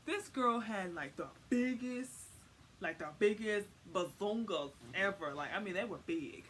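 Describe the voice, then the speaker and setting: Young adult female vlogger, mid-pitched, slangy and rambling comic explainer, slightly noisy home recording. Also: expressive, unhurried, fairly bright tone. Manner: rambling, informal slang, repeats words for emphasis. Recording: faint noise, home recording.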